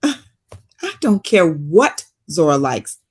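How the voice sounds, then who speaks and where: Lowish-voiced actress as a composed woman therapist, weary yet caring, measured and firm, naturalistic drama read. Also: sing-song, slightly rough, exaggerated voice. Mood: resolute. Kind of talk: naturalistic table read acting.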